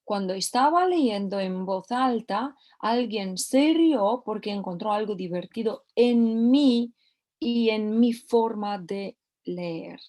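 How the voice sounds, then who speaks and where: Slow, deliberate woman teaching a course. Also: mid-range voice, expressive, warm tone. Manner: slow, repeats key phrases for emphasis. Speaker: course instructor. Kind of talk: recorded course lesson.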